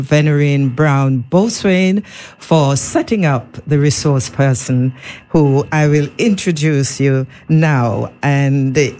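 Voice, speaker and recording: A woman with a Caribbean accent hosting a live radio panel in a measured, deliberate, formal way. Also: low voice, darkish tone. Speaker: radio host. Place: radio studio. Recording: faint background noise.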